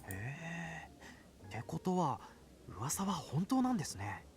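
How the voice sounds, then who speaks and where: A man's voice: a little high, wildly swooping pitch, moderate pace. An actor playing a polite, easily impressed young man in a Japanese visual novel. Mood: curious, impressed. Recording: game audio with music behind.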